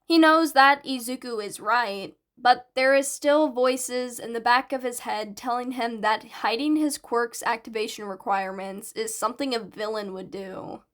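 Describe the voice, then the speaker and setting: A woman with a somewhat high voice narrates a piece of fiction. She reads from a script as the storyteller of a fan-made audiobook. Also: measured pace, few pauses. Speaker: podfic narrator.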